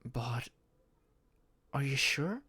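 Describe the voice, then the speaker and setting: A man acting a shy, halting, slow-spoken young guy. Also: mid-range voice, expressive, bright, forceful tone, full character voice. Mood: hesitant, reluctant. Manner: halting, sighing, breathy murmurs rising to tearful outbursts. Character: shy, anxious young man confiding in his closest friend. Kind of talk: intimate audio roleplay acting.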